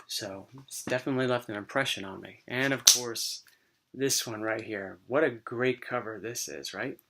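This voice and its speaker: A man getting over a cold, with a dry, slightly raspy voice, chatting casually about his comic book collection. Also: medium pitch, normal speed, slight background noise. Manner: relaxed, rambling, many fillers. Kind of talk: casual show-and-tell chat.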